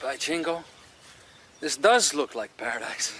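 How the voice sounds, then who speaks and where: A man playing an earnest, good-hearted prospector in a radio play. The voice is bright and a bit high, over faint outdoor noise. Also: lively intonation, a little slow. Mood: wondering. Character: anxious, good-hearted gold prospector, loyal partner. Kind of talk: radio drama acting.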